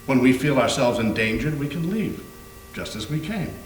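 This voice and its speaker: A man's voice: medium pitch, lively intonation, normal speed, a little hiss or hum, lightly acted. A man playing a grave, eager doctor who investigates hauntings, in an amateur readers theater performance. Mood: grave, warning. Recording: echoey room with music behind.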